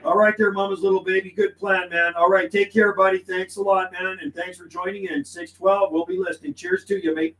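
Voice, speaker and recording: A man's voice: sits high, expressive, a little fast, few pauses, a bit bright, rough recording. A middle-aged Canadian man hosting a casual live radio stream, chatting in a friendly, laid-back way and calling out on CB channels. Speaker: livestream host and CB radio operator. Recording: clear background noise, radio ambience. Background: CB radio noise and ambience.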